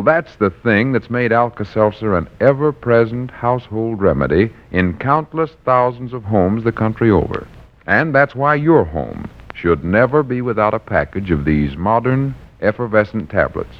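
A man with an American accent announcing in polished, folksy 1940s radio advertising style. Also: fairly low pitch. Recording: dull vintage 1940s radio recording.

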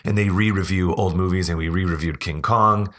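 A man hosting a podcast, talking casually and laughing often, his voice hoarse as if he is losing it. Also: low voice, steady tone, brisk, fairly bright tone. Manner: chatty, laughing, rambling restarts. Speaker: podcast host.

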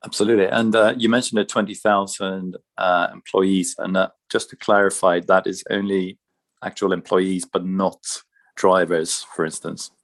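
A man's voice: low-pitched, flat delivery, normal speed. A man hosting a podcast, introducing his guests and asking questions in a conversational way. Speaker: podcast host. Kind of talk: podcast interview.

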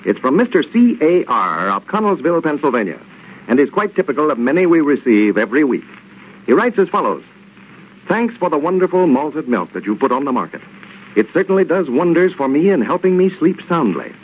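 A man's voice: fairly high pitch, sing-song, brisk. A man with an American accent announcing a 1930s radio show, reading sponsor copy and scripted narration. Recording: muffled 1930s radio with faint noise.

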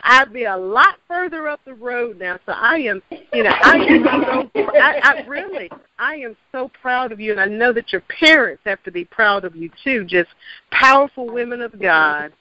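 Effusive host of a gospel interview. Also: female voice, fairly high pitch, expressive, normal speed, dry recording, highs cut off. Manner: effusive, affirming.

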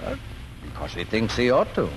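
A farmer father explains things in a deep, gentle, folksy voice that rises and falls widely. He is halting and patient, in a 1940s radio drama on a noisy old recording. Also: huge pitch swings. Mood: patient, earnest.

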